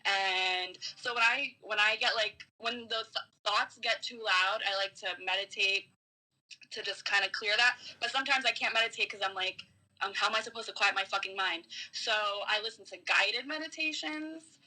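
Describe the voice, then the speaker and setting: A woman's voice: medium pitch, normal speed, bright, forceful tone, low-quality recording. A woman chatting casually as a podcast guest, giving spiritual advice in an informal way with swearing and lots of "like".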